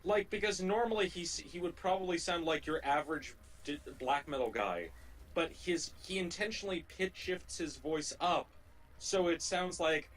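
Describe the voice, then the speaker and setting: A man co-hosting a gaming livestream, chatting casually in a rambling, halting way that often circles back and repeats phrases. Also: fairly high pitch, lively intonation, fairly bright tone, low-quality recording. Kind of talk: casual chat during gameplay.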